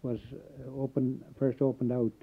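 A man born in Canada recalling his Welsh community in a halting oral history interview, pausing often. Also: medium pitch, level tone, slightly rough. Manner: halting, many filler pauses. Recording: tinny phone-like old tape.

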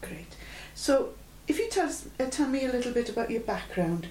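A woman's voice: fairly high pitch, big pitch swings, moderate pace. Woman interviewer in an echoey room. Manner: gentle, prompting questions. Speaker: oral history interviewer. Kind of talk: oral history interview. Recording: echoey room with faint hum.

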